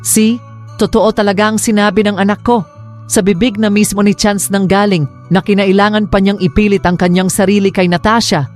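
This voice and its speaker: A woman's voice: mid-range voice, little pitch movement, brisk, a little mellow, faint music behind, natural voice. A woman playing an indignant, accusing middle-aged mother in a Tagalog audio drama. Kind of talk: Tagalog romance radio drama acting.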